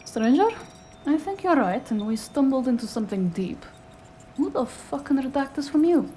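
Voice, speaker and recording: A blunt, disbelieving investigator in a noir audio drama. Her voice is high and very animated in pitch, soft in tone, with faint noise behind it. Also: moderate pace. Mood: alarmed disbelief.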